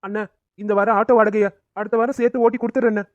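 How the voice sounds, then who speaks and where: A woman's voice: mid-range voice, steady tone, quick pace, no room sound, dull audio, close to an everyday voice. A woman voicing a humble young man who thanks his friend. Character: grateful young husband and father.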